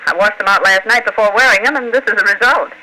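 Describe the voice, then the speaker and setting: Woman acting a bright, crisp radio secretary. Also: mid-range voice, quick pace, natural voice, low-quality recording. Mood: matter-of-fact. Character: sharp but soft-hearted young female newspaper secretary. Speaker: actor. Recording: hissy vintage radio broadcast recording.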